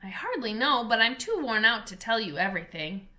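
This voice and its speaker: A woman reading aloud, voicing Bill, a small, worn-out male lizard who speaks very softly and wearily. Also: fairly high pitch, big pitch swings, a little fast. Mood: weary. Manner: very soft, weary.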